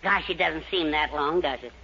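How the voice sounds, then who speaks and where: A man's voice: a little high, normal speed, bright, forceful tone. An actor playing a meek, fussy, blustering middle-aged husband and father in a 1940s radio comedy. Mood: nostalgic, jovial. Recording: muffled, hissy 1940s radio recording.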